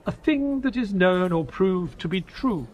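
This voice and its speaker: A high-voiced, sceptical detective's sidekick. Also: male voice, unhurried. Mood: exasperated, sceptical. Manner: sceptical, expressive. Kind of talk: scripted audio drama dialogue and narration.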